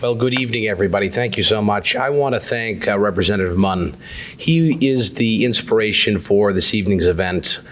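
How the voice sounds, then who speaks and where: A man's voice: lowish voice, brisk, a bit bright, a little hiss or hum. A man with a cold giving affable, self-deprecating town hall remarks with frequent "uh" fillers. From New Hampshire. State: has a cold. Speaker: state insurance commissioner.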